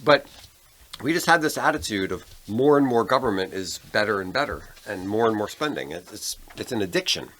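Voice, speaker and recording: A man's voice: fairly low pitch, expressive, a little fast, fairly bright tone, a little hiss or hum. An American man co-hosting a podcast, talking casually about politics in an opinionated, conversational way. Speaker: podcast co-host.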